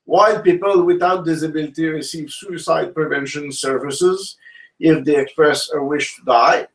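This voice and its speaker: Halting man, a bit off-mic. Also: a little high, recorded from a distance, low-quality recording. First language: French. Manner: halting, searches for words in his second language. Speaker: co-host. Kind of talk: casual panel discussion.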